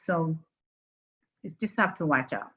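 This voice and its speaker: A woman hosting a career interview, giving measured advice and asking questions. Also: low voice, expressive, slightly rough, dull audio. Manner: measured, advising, some restarts. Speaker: interview host.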